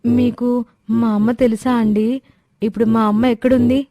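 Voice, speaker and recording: A woman's voice: on the high side, flat delivery, moderate pace, dark tone, dry recording, pitched above the performer's own voice, close to an everyday voice. A woman voicing an earnest, plaintive young daughter searching for her mother in a moral story. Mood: polite, anxious. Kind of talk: Telugu moral story character acting.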